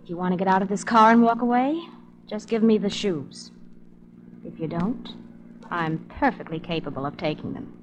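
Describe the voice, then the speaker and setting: A woman playing a cool, menacing young gunwoman in a 1940s radio drama, controlled and softly threatening. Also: medium pitch, normal speed, lightly acted. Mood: controlled threat. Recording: noisy old radio recording.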